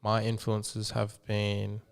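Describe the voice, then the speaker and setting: A man interviewing a guest in casual chat, reflective and halting, often restarting his sentences. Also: fairly low pitch, completely flat delivery, vocal fry.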